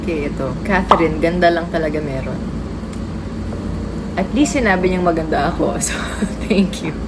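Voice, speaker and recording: A woman's voice: deep voice, moderate pace, heavy background noise. A young woman with a Filipino accent, an actress chatting casually as she reads out comments, laughing often and mixing English with Tagalog. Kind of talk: casual chat. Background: loud background music.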